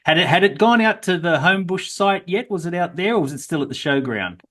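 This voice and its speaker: Man, interviewer, encouraging, somewhat higher voice, lively questions. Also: fairly quick. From Sydney, Australia. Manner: warm, encouraging, animated questions. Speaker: interviewer, critics' group president. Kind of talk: casual interview chat.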